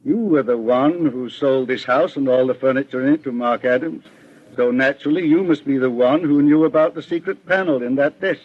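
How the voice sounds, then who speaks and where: A stern, shrewd detective from a vintage radio mystery, played by a man. His voice is husky and he speaks with deliberate authority, never flustered. The sound is dull and lo-fi, like an old broadcast. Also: mid-range voice, lightly acted. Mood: accusing. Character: calm, shrewd, stern male detective boss. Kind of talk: 1940s radio crime drama acting.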